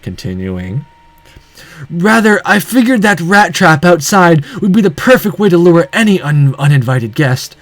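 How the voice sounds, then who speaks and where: A man's voice: fairly high pitch, very animated, a little slow, no echo. A man reading a visual novel aloud in dramatic first-person narration.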